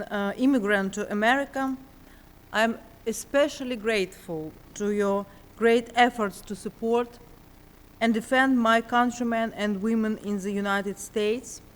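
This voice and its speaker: A woman from Ukraine with a Ukrainian accent, giving a formal, measured prepared speech with a few hesitations. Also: fairly high pitch. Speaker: panelist refugee speaker. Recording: long hall reverb.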